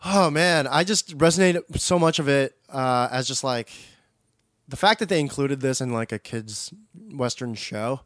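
A man with a medium-pitched voice co-hosts a casual discussion of an animated show. He is informal and effusive, dropping slang as he goes. The sound is dry, with very little room on it. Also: unhurried, no echo. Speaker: podcast co-host.

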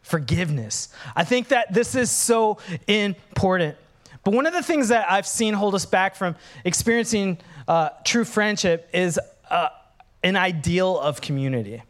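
A man's voice: sits high, measured pace. A man, preaching a candid, humorous sermon as pastor. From Minnesota, USA. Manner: animated, candid, humorous, acts out dialogues.

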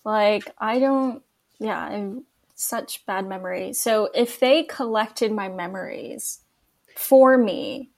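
Slow-talking, giggly young-sounding woman. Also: mid-range voice, vocal fry. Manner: giggly, hesitant. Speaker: podcast guest. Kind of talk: casual podcast chat.

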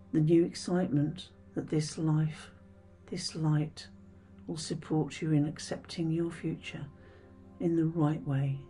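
Hushed, low, mellow woman guiding meditation. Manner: soothing, hushed, breathy delivery with gentle pauses. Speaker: meditation guide. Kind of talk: scripted guided meditation. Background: background music.

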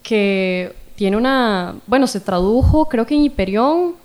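A woman's voice: on the high side, lively intonation, unhurried, darkish tone. A woman co-hosting a book podcast, speaking tentatively as she thinks aloud. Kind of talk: casual literary chat.

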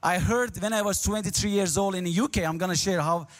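Azeri-speaking guy giving his testimony at church, kinda high voice, broken english, echoing around a big hall. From Iran, near the Turkish border. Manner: earnest, halting non-native English, grows animated while telling his story. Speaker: guest speaker. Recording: long hall reverb.

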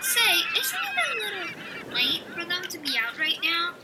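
An actress playing a laid-back, scrappy dragon with a dry, casual manner in an audio drama. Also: female voice, extremely high pitch, wildly swooping pitch, measured pace, far from the mic, heavily acted. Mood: wry, casual. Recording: noisy outdoor-style ambience.

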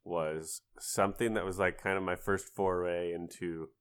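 Low-voiced man in playful chat. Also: steady tone, moderate pace, clear, resonant tone. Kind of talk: casual chat about a video game.

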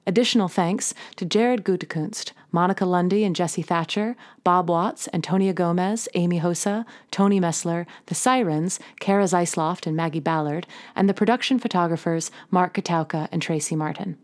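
A woman presents an audio drama with scripted narration. Her voice sits a little lower than most women's, and she reads as the production's narrator. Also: normal speed, hardly any pauses. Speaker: podcast narrator reading closing credits.

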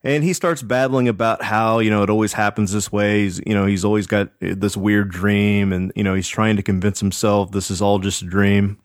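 A man's voice: on the low side, fairly even intonation, normal speed, hardly any pauses, no room sound, clean studio sound. A man hosting a podcast, telling a story casually with jokey, slangy conversational commentary. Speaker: podcast host.

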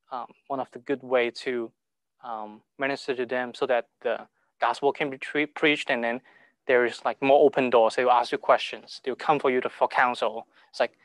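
Halting man. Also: mid-range voice, fairly bright tone, dry recording, rough recording. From Hong Kong. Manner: halting, repeats words, broken grammar. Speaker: speaker sharing testimony. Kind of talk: informal talk to a church group.

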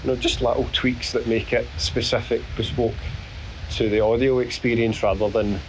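A middle-aged Scottish man with a hoarse voice, hosting his podcast as a rambling spoken diary while walking on a beach. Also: mid-range voice, level tone, low-quality recording. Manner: rambling, self-deprecating, halting. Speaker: podcast host. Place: outdoors on a beach. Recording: outdoor recording with clear background noise.

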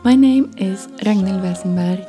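A woman's voice: mid-range voice, moderate pace, warm tone. A woman hosting a podcast, introducing and interviewing in spoken segments. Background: music.

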